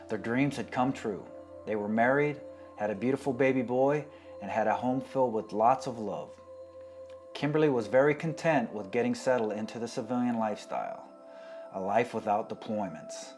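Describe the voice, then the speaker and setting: A man narrating a documentary in a solemn, measured way, his voice dropping quietly at the end of sentences. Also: medium pitch. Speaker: documentary narrator, fellow Marine veteran.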